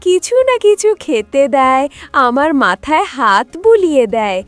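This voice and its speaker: A woman's voice: sits high, very animated, measured pace, no echo. A woman voicing an innocent, affectionate little village girl in a cartoon, speaking in an earnest, plaintive manner. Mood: wistful. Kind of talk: Bengali animated moral tale acting.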